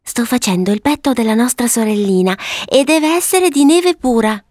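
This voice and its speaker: A woman voicing a sweet, eager, bossy little girl in a storybook, earnest and excitable as she gives instructions. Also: a little high, moderate pace, no echo, studio quality, voice pushed up, natural voice. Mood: happily instructing. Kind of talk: storybook character voice.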